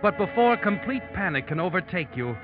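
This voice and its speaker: An actor playing the Whistler's role of an ominous, all-knowing narrator in a 1940s radio suspense show, theatrical and foreboding. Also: male voice, high-pitched, very animated, brisk. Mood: tense. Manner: theatrical, ominous, addresses the character as you. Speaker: narrator and host of mystery radio drama. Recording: dull old radio recording with music.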